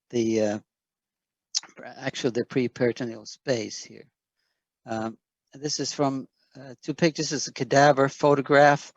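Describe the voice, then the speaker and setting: A man's voice: mid-range voice, vocal fry, dry recording. A man presenting a surgical talk on a video call, hesitant and full of fillers. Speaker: surgeon presenter. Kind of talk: online lecture. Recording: dull, dry video call audio.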